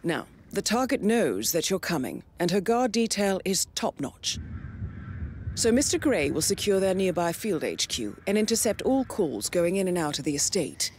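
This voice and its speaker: A woman's voice: fairly low pitch, sing-song, normal speed, darkish tone, a little hiss or hum. A cool female mission handler. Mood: briefing. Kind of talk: game cutscene voice acting.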